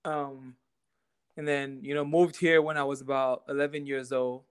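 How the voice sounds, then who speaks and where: A young man from Cameroon, a podcast guest, talking in an easygoing, halting way with repeated words. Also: mid-range voice, little pitch movement, clean tone. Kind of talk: casual interview chat.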